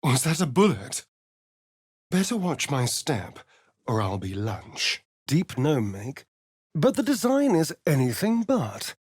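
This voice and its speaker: A vain, sly male vampire for a fantasy video game. His pitch swoops widely while he takes his time over each arch, sardonic phrase. The sound is dry and close, with no room on it. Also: sing-song, slow, husky, no echo, full character voice. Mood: sardonic, wary, then flamboyant, arch. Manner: theatrical, arch, sardonic drawl. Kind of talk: video game companion voice lines and narration.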